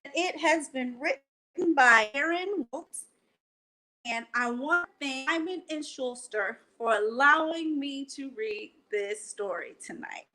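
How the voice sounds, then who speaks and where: A woman with a high-pitched voice hosts a children's bedtime storytime. She takes her time, phrasing things deliberately and speaking straight to young listeners as she reads aloud. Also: lively intonation, pushed and bright, rough recording. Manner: slow, deliberate phrasing, addressing children directly. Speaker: library storytime host and reader. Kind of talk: bedtime picture-book read-aloud for children. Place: at home, indoors.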